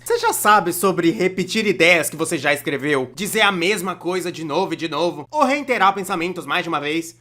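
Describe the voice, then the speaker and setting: A Brazilian performer dubbing a young cartoonist who narrates an animated comedy video in a conversational, self-deprecating, complaining way. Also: mid-range voice. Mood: wry, explanatory. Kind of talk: scripted comedic storytime narration, fan dub.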